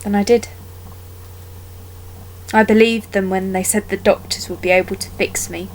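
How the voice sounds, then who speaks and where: A woman performing a dramatic monologue as a wounded young woman haunted by a childhood attack, telling it haltingly with deliberate pauses. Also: mid-range voice, fairly even intonation, a little hiss or hum, natural voice, low-quality recording. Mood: pained, subdued.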